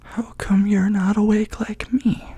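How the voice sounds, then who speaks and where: A man gently puts on a sleepless little storybook sheep, polite and plaintive. The speech is soft and slow, close to a whisper. It has a husky, breathy edge suited to bedtime ASMR. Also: high-pitched, level tone, dry recording, voice pushed up, heavily acted.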